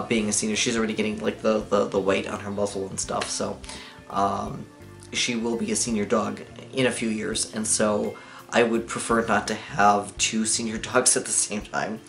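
A man's voice: on the low side, fairly bright tone. A young adult man vlogging casually to camera in a living room, rambling with lots of fillers and small laughs. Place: living room with lamp and couch, indoors.

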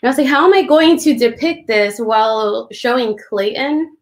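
A woman's voice: a little high, moderate pace. A young woman, an illustrator, chatting informally and casually in a conversation about her work over a video call from a room at home. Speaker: children's book illustrator. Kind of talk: casual conversational book talk. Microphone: video call, computer at desk distance.

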